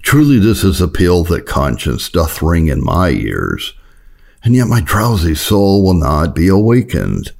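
A man's voice: deep voice, very animated, unhurried, creaky, a little mellow. A man reading an old Puritan sermon aloud in a solemn, earnest, exhorting manner. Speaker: reader of historical Puritan sermons.

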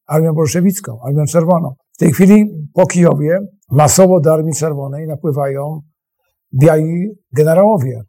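Husky, higher-pitched man explaining haltingly. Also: warm tone. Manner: halting, emphatic, repeats phrases, clears throat. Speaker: expert guest, history professor. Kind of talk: unscripted interview explanation.

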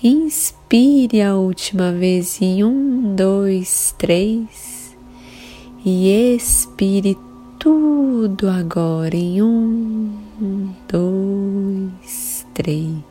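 A Brazilian woman leading a guided meditation in a calm, soothing, measured way with long pauses between phrases. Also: mid-range voice. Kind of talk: slow guided meditation narration. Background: soft sustained meditation music.

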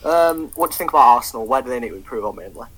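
A man's voice: medium pitch, animated, very fast, a bit bright, slightly rough, poor audio. Sweary rambling young man. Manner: sweary, rambling, lots of ums. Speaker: podcast co-host. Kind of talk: casual football chat.

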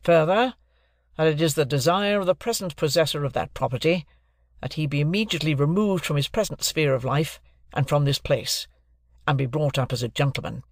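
A man's voice: a little high, fairly quick, no room sound, studio quality, natural voice. A man voicing a brusque, stern middle-aged lawyer who speaks in curt, clipped, commanding sentences. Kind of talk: audiobook character voice.

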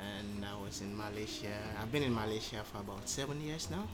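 A man, a podcast guest telling his story in measured, thoughtful speech full of um pauses. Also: lowish voice, loud music behind the voice. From Nigeria. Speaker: interview guest. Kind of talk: casual conversational storytelling.

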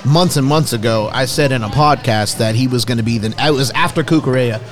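A man co-hosting a casual football podcast, talking in an animated way and swearing freely. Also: mid-range voice, fairly quick. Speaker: podcast co-host. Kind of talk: casual sports chat.

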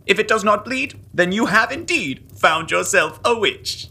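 A woman playing a pompous, officious Puritan gentleman who leads a club, in a comic audio drama with archaic diction. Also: medium pitch, animated, measured pace, gravelly, husky, full character voice. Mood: pompous, solemn. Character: pompous Puritan gentleman, earnest leader of witch-hunting club.

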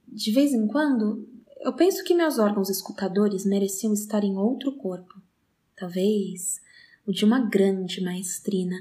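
An actress playing a solitary, obsessive woman with a wry enthusiasm, in an intimate audio drama monologue with deliberate, expressive phrasing. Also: medium pitch, close to an everyday voice. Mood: wistful, confiding. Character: obsessive sound-counting woman, solitary, precise, wryly enthusiastic.

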